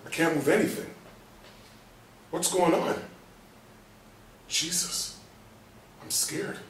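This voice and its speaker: Man acting a slow, frightened man, roomy sound. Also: sits high. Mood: panicked, confused. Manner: halting, anguished self-talk in short bursts. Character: frightened, confused man trapped in his paralysed body, sweary. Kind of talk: staged play reading, inner monologue. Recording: roomy, distant mic, faint noise.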